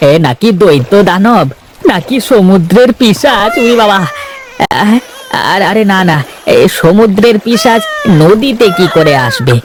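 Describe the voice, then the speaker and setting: Man acting an emotional higher-voiced poor boatman. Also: expressive, measured pace, a little hiss or hum. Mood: startled, frightened. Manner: emotional, exclamatory, talking to himself. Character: poor lonely kindhearted boatman and adoptive father. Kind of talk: animated moral story voice acting. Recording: music behind the voice.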